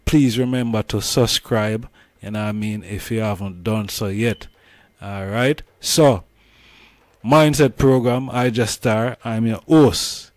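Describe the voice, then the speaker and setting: Jamaican guy hosting a rasta chat, respectful patois, mid pitch, dry sound. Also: dry recording. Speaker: host. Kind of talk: reverent, casual Rastafarian interview talk.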